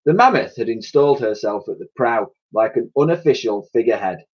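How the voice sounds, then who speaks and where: A man's voice: mid-range voice, expressive, brisk, husky. A man reading a children's story aloud with expression, on a dull home recording. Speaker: story reader.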